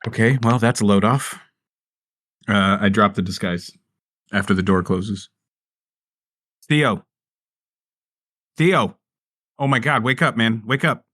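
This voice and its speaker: A man's voice: lowish voice, slow pace, pauses often, a bit bright, no room sound, studio quality. A man playing a tabletop roleplaying game in a casual, earnest, improvised way with salty language.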